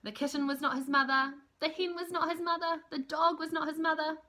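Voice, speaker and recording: A woman in her thirties reading a children's storybook aloud in an expressive, deliberate, emphatic way. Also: high-pitched. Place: small room at home, indoors.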